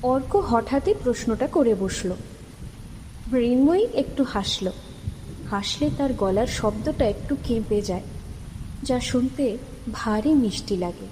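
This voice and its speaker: Reverberant, higher-pitched woman narrating a story. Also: animated, unhurried, long pauses, warm tone, slight background noise. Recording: long reverb under music. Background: music.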